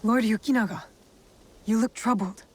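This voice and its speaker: An actress playing a calm, resolute young woman investigator in a video game drama, speaking in a measured, firm way. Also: fairly high pitch, breathy edge. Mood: measured, probing. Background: background music.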